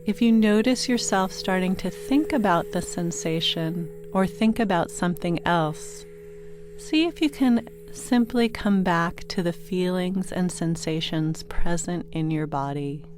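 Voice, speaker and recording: A woman narrating a guided sleep meditation in a gentle, soothing manner, giving unhurried instructions with pauses between phrases. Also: on the low side, noisy background. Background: meditation music with singing bowl tones.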